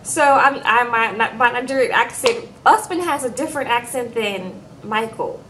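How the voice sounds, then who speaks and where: A young woman recapping a reality show in casual, animated chat, often tagging her lines with "okay?". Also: a little high, moderate pace, noisy background.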